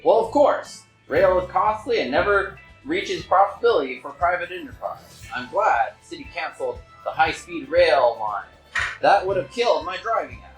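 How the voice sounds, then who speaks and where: A man's voice: on the high side, animated, moderate pace, close to an everyday voice. A man playing a haughty older monopolist in a table reading, condescending, dismissive and easily outraged. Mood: scornful. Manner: pompous, dismissive, exclaiming. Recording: echoey room with music behind.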